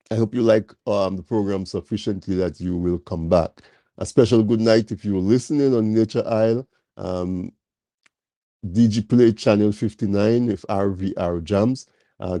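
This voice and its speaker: A man hosting a live talk program, slightly nasal from a cold, speaking slowly with many fillers as he welcomes his audience. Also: on the low side, dry recording. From Caribbean. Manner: slow, warm welcoming address with many fillers. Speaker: talk show host.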